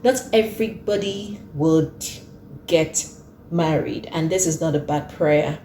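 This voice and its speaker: A woman's voice: deep voice, slow pace, dark tone, echoey room, slight background noise. A woman in her thirties hosting an earnest talk, who repeats her points for emphasis. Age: 30s. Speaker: host. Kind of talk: casual talk to camera.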